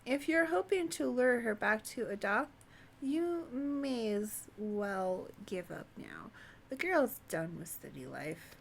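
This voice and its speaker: A woman's voice: fairly high pitch, expressive, takes it slowly, creaky, a little hiss or hum, exaggerated voice. A woman voicing a wry video game villager who is weary of city folk, with old-fashioned phrasing. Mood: wry, dismissive.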